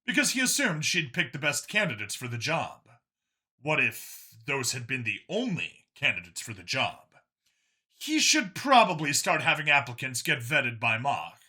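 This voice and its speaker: A man's voice: mid-range voice, sharp tone, breathy edge. A man reading a science fiction story aloud as a dramatic scripted narration. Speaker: narrator, audiobook reader.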